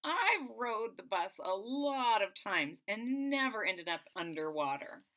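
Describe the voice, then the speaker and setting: Female storyteller, middle-aged, for kids' picture-book read-aloud: animated pitch, bright projected tone, dramatic emphasis, explanatory asides. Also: a little slow. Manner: expressive, explains to young listeners, dramatic emphasis. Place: small indoor room at home.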